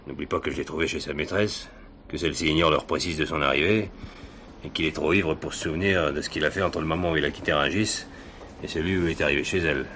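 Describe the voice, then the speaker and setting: Deep-voiced, authoritative police commissioner. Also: male voice, steady tone, fast, fairly bright tone, a little hiss or hum. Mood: brisk, businesslike. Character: calm, authoritative police commissioner, a seasoned male boss. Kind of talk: French radio crime drama acting.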